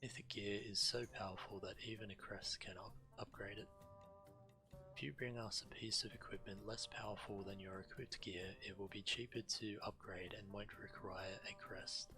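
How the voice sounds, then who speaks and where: A man's voice: on the low side, level tone, moderate pace, pushed and bright. A man giving understated, matter-of-fact commentary on a video game and reading quest text aloud. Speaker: game streamer. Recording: game music faintly behind. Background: video game music.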